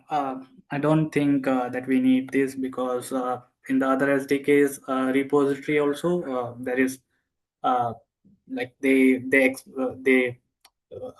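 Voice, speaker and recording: A man speaking haltingly in an online meeting, with many uh fillers. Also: mid-range voice, low-quality recording. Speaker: community member. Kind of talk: meeting discussion. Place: video call.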